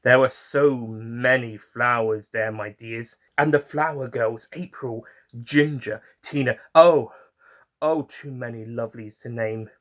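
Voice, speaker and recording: A man's voice: medium pitch, a little slow, a bit bright, lightly acted. A man playing an eccentric, chatty time-traveller who narrates his own adventures in an audio story with theatrical, fond asides. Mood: whimsical, chatty.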